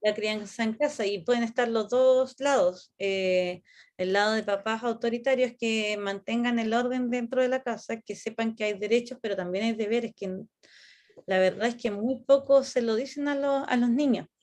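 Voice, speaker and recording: A woman teacher with a Chilean accent, leading a class discussion in a measured, reflective way. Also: on the high side, darkish tone. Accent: Chilean Spanish. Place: online class.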